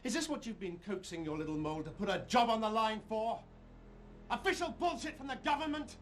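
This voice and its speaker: An actor playing a driven, suspicious investigator in a nineties low-budget screen drama. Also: male voice, animated, fairly quick, fairly bright tone. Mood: scornful.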